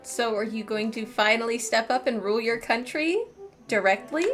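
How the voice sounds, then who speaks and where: A woman's voice: fairly high pitch, normal speed, fairly bright tone. A woman gaming streamer giving casual, playful, chatty commentary as she plays. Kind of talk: casual live game commentary. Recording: game music clearly behind.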